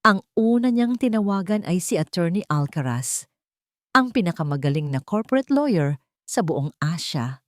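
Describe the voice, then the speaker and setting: A woman narrating a scripted dramatic story as an audiobook, with solemn, deliberate phrasing. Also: fairly low pitch, very animated, dry recording, clean studio sound.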